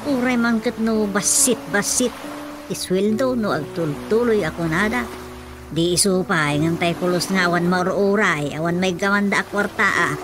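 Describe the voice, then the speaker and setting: A practical, worried middle-aged wife in a radio drama, acted by a woman, chatting in a matter-of-fact way at a mid pitch. She speaks with an Ilocano accent. A music bed runs underneath. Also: normal speed, close to an everyday voice.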